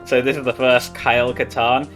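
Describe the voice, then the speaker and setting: Male speedrunner, average-pitched voice, chatty live gameplay commentary with light music underneath. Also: little pitch movement, moderate pace, fairly bright tone. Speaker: speedrunner playing live at a gaming marathon. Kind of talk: casual live game commentary. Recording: game music behind the voice.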